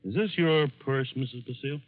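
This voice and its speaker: A man's voice: medium pitch, fairly quick, close to an everyday voice. A man playing an insistent police lieutenant in a 1940s radio drama, clipped and matter-of-fact. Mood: probing. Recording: tinny 1940s radio recording.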